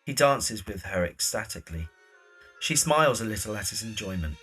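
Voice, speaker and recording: A man's voice: fairly low pitch, expressive, moderate pace, pushed and bright, faint music behind, low-quality recording. A man reading scripted present-tense narration for an audio drama.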